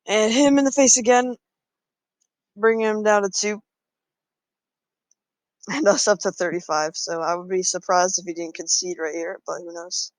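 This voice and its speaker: A man's voice: sits high, fairly even intonation, normal speed, long pauses, no room sound, poor audio. A man casually talking through his moves in a card game, thinking aloud with frequent fillers. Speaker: gameplay commentator.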